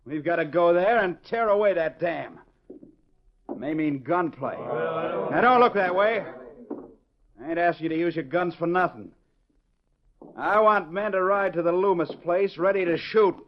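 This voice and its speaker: A man's voice: sits high, a little slow, long pauses. A man playing an angry, stubborn, blustering cattle boss in a 1940s western radio drama. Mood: commanding, infuriated. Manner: blustering, commanding, folksy western phrasing. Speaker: actor. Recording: dull, lo-fi old radio recording.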